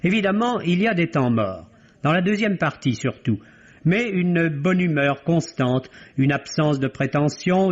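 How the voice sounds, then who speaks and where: A man delivering a prepared film review as a radio critic in an articulate, literary and wryly ironic way, in 1950s French radio style. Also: a little high. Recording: dull, band-limited vintage radio recording.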